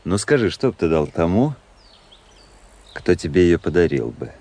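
Deep-voiced, cunning officer. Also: male voice, very animated, normal speed, warm tone. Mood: coaxing. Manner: teasing, self-assured. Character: cool, cunning young officer, manipulative tempter. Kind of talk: radio drama dialogue.

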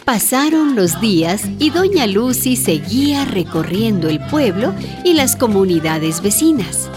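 A woman's voice: medium pitch, sing-song, a little slow, over music. A woman narrating a radio drama in a composed, scripted storytelling style.

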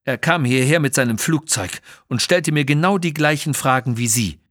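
A man's voice: medium pitch, normal speed, a bit bright, no echo, clean studio sound, lightly acted. A man voicing a cautious, honourable Tibetan Sherpa mountain guide who speaks formally and earnestly in an audio story. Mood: warning.

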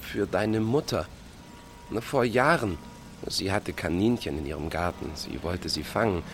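A man's voice: low-pitched, unhurried, a bit bright, slight background noise. An actor playing a teasing, insistent village man of thirty in a radio drama, pushily friendly. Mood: sly, amused. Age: 30s.

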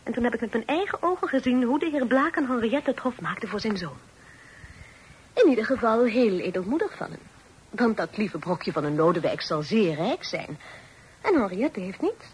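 Actress as a lively, high-voiced teasing young sister. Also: female voice, moderate pace, close to an everyday voice. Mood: confiding, gossipy. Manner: playful, probing, formal old-fashioned phrasing. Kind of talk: Dutch radio play acting. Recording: noisy old radio drama recording.

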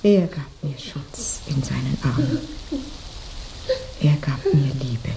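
An actress playing a dying, delirious woman longing for her lover in a radio drama, murmured and halting. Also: rumbling low, very animated, gravelly, very noisy, heavily acted. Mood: feverish, longing. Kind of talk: German radio drama acting.

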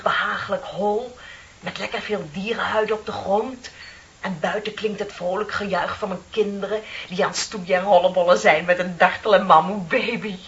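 A woman's voice: medium pitch, a bit bright, close to an everyday voice. Actress doing a chatty younger office lady, musing and ironic, radio drama, dull old hissy tape. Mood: dreamy. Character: chatty, dreamy younger female office clerk. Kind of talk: Dutch radio play acting.

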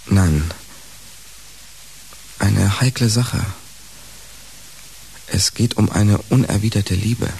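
Radio play part: hesitant, awkward man, drawn-out phrasing, very unhurried, slightly hissy sound. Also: lowish voice, creaky, darkish tone, full character voice, rough recording. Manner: halting, drawn-out. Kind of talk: naturalistic German radio play acting.

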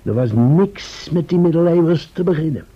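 Very raspy, cheeky storyteller. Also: male voice, on the high side, lively intonation, normal speed, warm tone. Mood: wry recounting. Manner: colloquial, wry. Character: chatty, cheeky young assistant recounting his adventures. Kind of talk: radio drama narration. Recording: muffled old radio play recording.

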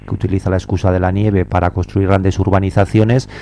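Low, mellow man, faint rumble. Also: flat delivery, fairly quick, gravelly. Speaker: environmental activist guest. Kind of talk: spontaneous radio interview talk.

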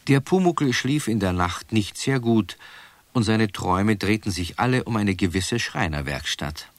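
A man narrating a scripted children's radio play as a calm storyteller. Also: on the low side, measured pace, dry recording.

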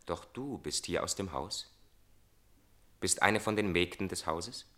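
Young stranger, steadfast messenger, lowish forceful voice, solemn, tragic radio play. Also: male voice, measured pace. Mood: grave, probing. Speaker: radio drama actor.

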